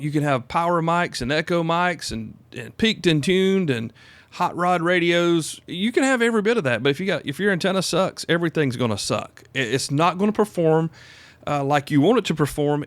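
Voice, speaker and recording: A man's voice: on the high side, lively intonation, moderate pace, no echo. A man in his fifties hosting a solo podcast, talking casually and informally, repeating phrases for emphasis, close on the microphone. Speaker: podcast host.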